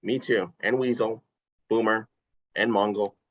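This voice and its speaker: A man's voice: lowish voice, steady tone, a little slow, no room sound, close to an everyday voice. A man reading casual character lines in an amateur table read, on a dull lo-fi microphone. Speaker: cast reader.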